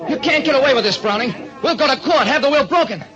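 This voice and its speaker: Shrill, indignant disputing heir. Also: male voice, expressive, quick pace, highs cut off, a crowd in the background. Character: indignant relative contesting a will, haughty and threatening. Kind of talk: old-time radio detective drama.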